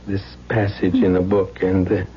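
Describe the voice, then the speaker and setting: A man's voice: low-pitched, little pitch movement, normal speed, close to an everyday voice. Actor as timid married man, hesitant, stammering, old radio drama, muffled sound with light hiss. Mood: bashful. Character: timid, bashful husband, nervously resisting temptation.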